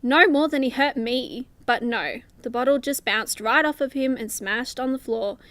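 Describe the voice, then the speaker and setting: Woman playing high-voiced sassy superhero. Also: normal speed, fairly bright tone, no echo. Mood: wry recounting. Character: sassy young female superhero speedster, teasing, feeling overlooked. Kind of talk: superhero comedy radio play acting.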